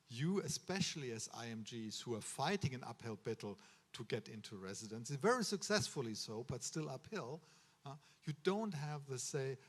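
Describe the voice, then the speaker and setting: This is a man with a German accent addressing students at a ceremony, earnest and advising. His voice sits at an ordinary middle pitch, and he often stops on uh and restarts his words. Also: breathy edge. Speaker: medical school official addressing students. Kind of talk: ceremony speech. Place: ceremony hall.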